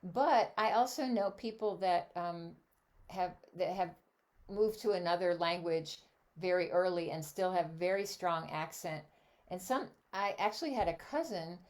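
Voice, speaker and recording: A woman's voice: lowish voice, darkish tone. An older woman born in Switzerland, a native German speaker, chatting as a guest songleader in a casual interview, rambling with many asides. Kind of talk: casual video chat interview.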